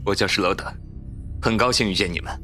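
Cautious male survivor acted by a man, low pitch, fast pace, audio drama. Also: pushed and bright, faint music behind. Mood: calm. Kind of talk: Chinese fan audio drama acting.

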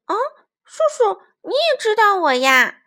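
An innocent baby dinosaur boy from a storybook, played by a woman, with a very high, animated voice. He is childlike and adoring, exclaiming and pleading in an unhurried way. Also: full character voice. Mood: cheerful, eager.

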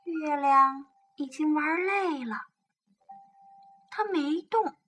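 A woman's voice: sits high, takes it slowly, fairly bright tone. A woman hosting a children's program, telling a picture-book bedtime story expressively and coaxing young listeners along. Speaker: children's storytelling show host. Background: music.

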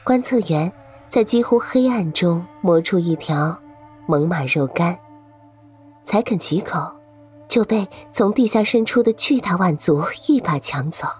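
A woman's voice: fairly low pitch, expressive, unhurried, a little mellow, breathy edge, faint music behind. A woman reading scripted story narration for an audio drama.

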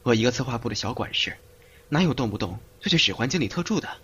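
A man's voice: mid-range voice, expressive, fast, a bit bright, husky, lo-fi sound. A man playing a breezy, cocky young lover who scolds affectionately in an amateur audio drama, on a hissy home recording. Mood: grumbling.

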